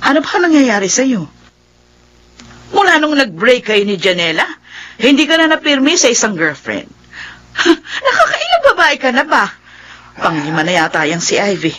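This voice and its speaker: A woman playing a doting middle-aged mother in a Filipino radio drama, husky-voiced, fussing over and chiding her grown son. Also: a little high, wildly swooping pitch, normal speed, pauses often, a bit bright, exaggerated voice. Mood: chiding, concerned.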